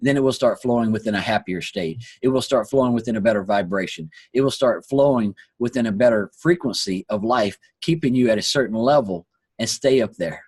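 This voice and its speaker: Hoarse man giving a motivational talk. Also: mid-range voice, fairly quick, darkish tone, no echo. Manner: earnest, preachy, repeats phrases. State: hoarse, losing his voice. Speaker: host. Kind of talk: motivational talk on a live online call.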